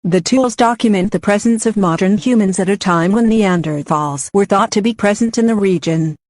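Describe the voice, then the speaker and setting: A woman reading a scripted passage aloud in a measured, formal way. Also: mid-range voice, a little mellow, no room sound. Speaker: narrator of read-aloud test practice passages. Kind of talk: scripted read-aloud narration.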